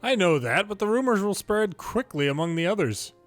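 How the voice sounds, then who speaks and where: A man reading story dialogue aloud from a video game in a playful way, switching pitch between characters and adding his own commentary. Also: sing-song, brisk. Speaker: longplay host reading a game's dialogue aloud. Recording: music behind the voice. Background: game music.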